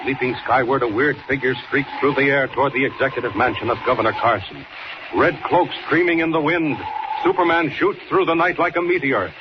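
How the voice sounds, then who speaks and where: A man's voice: mid-range voice, brisk. A man narrating dramatically as the announcer of a 1940s radio serial, in a scripted style. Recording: dull, very noisy old radio transcription.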